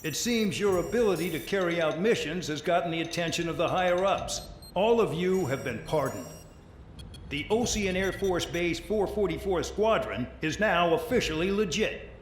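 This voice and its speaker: Stern air force commander, higher-pitched, echoing. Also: male voice, lively intonation, moderate pace. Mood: formal, commanding. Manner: authoritarian, clipped orders, scornful. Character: stern, contemptuous male air force commander of a penal unit. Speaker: military base commander. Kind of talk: video game cutscene acting, military briefing. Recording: roomy echo, background noise.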